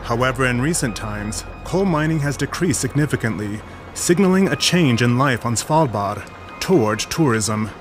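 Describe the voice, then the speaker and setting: A man reading scripted documentary narration in an even, measured way. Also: medium pitch. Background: music.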